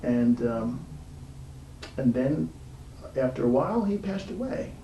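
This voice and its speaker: A man hosting an informal interview show, speaking haltingly with frequent pauses mid-sentence. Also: mid-range voice, expressive, warm tone, dull audio. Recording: roomy, distant mic, faint background noise.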